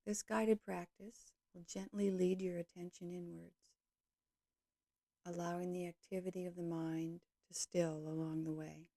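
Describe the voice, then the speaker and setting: Low, mellow woman guiding meditation. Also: dry recording. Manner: calm, measured, gentle delivery with short phrases. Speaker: yoga nidra teacher guiding a meditation. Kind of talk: guided meditation, slow instructional narration.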